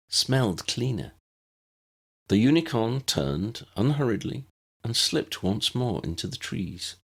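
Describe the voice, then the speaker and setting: Male narrator for story audiobook, deep register, lively and varied melody. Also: gravelly, husky.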